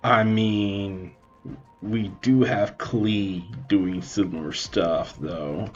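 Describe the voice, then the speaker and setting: A man with a low voice gives casual commentary on a game he is streaming. He speaks slowly, with faint game music in the background. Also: fairly even intonation, highs cut off. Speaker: streamer reacting to gameplay.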